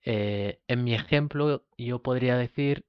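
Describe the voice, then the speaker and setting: Slow, repetitive male Spanish teacher. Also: mid-range voice, clear, resonant tone, no room sound, highs cut off. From Spain. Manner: slow, repeats each example phrase. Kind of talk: beginner language lesson.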